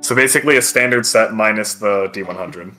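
A man's voice: lowish voice, a little fast, sharp tone, faint music behind. A game master, a man, narrating and explaining a tabletop roleplaying game.

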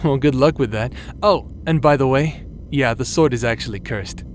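A man acting as a taunting, manipulative villain in a dramatic audio roleplay, with a drawn-out, mocking delivery. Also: mid-range voice, slight background noise, close to an everyday voice. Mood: smug. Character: taunting male villain, manipulative, mocking, coldly friendly tempter.

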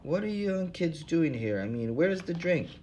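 A man's voice: big pitch swings, moderate pace, darkish tone, slight background noise. A man voicing a whiny old male hobo who keeps begging for drink money. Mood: wheedling. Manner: pleading, nagging, higher-pitched.

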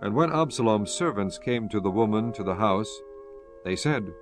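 Low-voiced man narrating scripture. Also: expressive, normal speed. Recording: faint music behind the voice.

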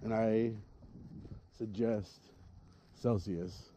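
A man talking casually as he films himself fishing by a lake, relaxed and joking. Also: lowish voice, extremely slow. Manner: relaxed, joking, laughs often. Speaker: fishing vlogger. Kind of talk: casual vlog chat. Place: outdoors by a lake. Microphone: head-mounted action camera. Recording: outdoor noise on camera mic.

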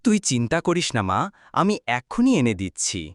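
A woman voicing a gentle, indulgent father and husband in a cartoon, speaking in a coaxing manner. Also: a bass voice, sing-song, a little fast, over music. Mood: reassuring. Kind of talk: cartoon dialogue acting.

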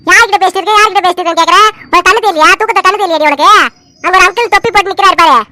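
A woman's voice: extremely high pitch, rapid-fire, sharp tone. A woman voicing a dreamy young aunt in a family story, confused and protesting, easily exasperated. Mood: puzzled, irritated. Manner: bewildered, protesting. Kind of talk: dramatised family story dialogue.

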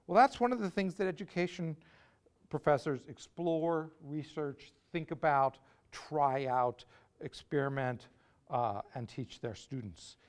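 A middle-aged man introducing a lecture speaker in a hall, measured and list-like. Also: a little high. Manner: measured, listing words one by one. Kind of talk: scripted introduction.

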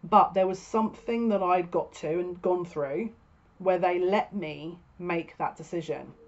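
A woman's voice: fairly low pitch, unhurried. A woman in her thirties giving a casual, spoken tarot reading to camera as a psychic reader. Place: small furnished room at home, indoors. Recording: dull lo-fi webcam sound.

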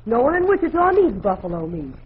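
Casting: indignant young western fiancée, high voice, vintage radio acting on a tinny, hissy recording. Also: female voice, sing-song, fast. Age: young adult. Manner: pert, indignant. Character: headstrong young frontier fiancée, indignant and stubborn. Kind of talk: old-time radio drama acting.

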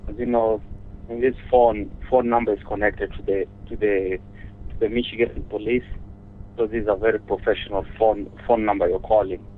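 A man handling a business call over the telephone, polite but halting and often repeating himself. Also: on the low side. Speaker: music lesson company owner. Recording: muffled, narrow telephone line.

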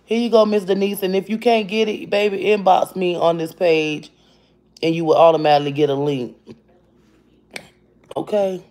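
Woman with a deep voice, forties, blunt profane livestream chat, phone held close in a home kitchen. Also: moderate pace, long pauses. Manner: blunt, profane, animated, talks to viewers by name. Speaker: livestream host. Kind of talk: casual livestream chat reading comments. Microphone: phone camera at arm's length.

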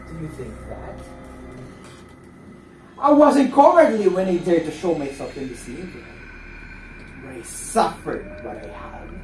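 A man's voice: sits high, dark tone, heavily acted. A man playing a cunning, bitter forger in a stage play, declaiming in a mocking way. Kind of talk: theatrical one-act stage play acting. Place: furnished indoor stage set, distant camera. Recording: echoey room, distant mic, background noise.

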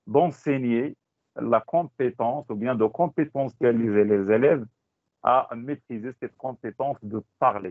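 Moroccan man, Tachelhit native, teacher trainer on a dry, tinny video call; halting, repeats himself. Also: medium pitch, no room sound. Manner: halting, repeats words, switches into Moroccan Arabic. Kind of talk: online teaching talk. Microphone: computer mic on a video call. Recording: dry, phone-like call audio.

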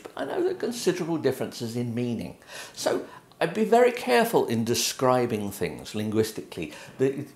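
A middle-aged man with an English accent talks through printing ideas in a loose, unscripted way. His pitch moves around a lot as he explains and points at things. The room around him is noticeably echoey, like a small home office heard through a camera mic. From England. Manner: conversational, gestures at prints while explaining. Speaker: photography educator. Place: home office with bookshelves, indoors.

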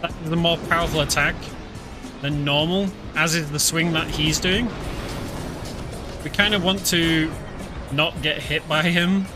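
A British man streaming a game, explaining it in a relaxed, filler-heavy casual commentary. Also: on the high side, measured pace, long pauses. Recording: game music behind.

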